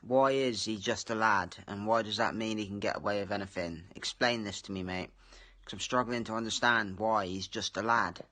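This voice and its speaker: A man leaves a cheeky voice message, keeping a mock-serious tone throughout. His voice is sharp and bright. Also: on the low side, fairly even intonation, normal speed. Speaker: voice-message sender. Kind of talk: recorded voice message.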